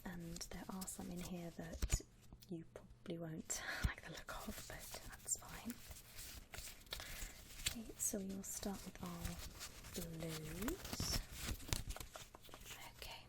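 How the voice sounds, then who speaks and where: A woman playing a gentle vampire coffin saleswoman in a whispered ASMR roleplay, unhurried, with hesitant pauses and soft hums. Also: on the low side, fairly even intonation, rough recording. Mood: soft, attentive. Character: softly whispering female vampire coffin saleswoman, attentive and discreet. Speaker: ASMR performer playing a shop consultant.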